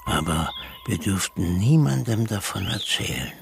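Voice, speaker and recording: Deep, gravelly, brooding storyteller. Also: male voice, animated, measured pace, noisy background, heavily acted. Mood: brooding, ominous. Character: man recalling an eerie forbidden childhood memory. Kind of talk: radio drama monologue, storytelling.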